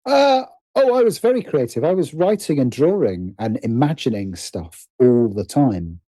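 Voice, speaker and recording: A man's voice: mid-range voice, sing-song, moderate pace, dark tone, no echo, rough recording. A middle-aged British man talking casually and reflectively as an interview guest, hesitant and self-deprecating as he thinks aloud. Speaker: podcast guest, writer and producer.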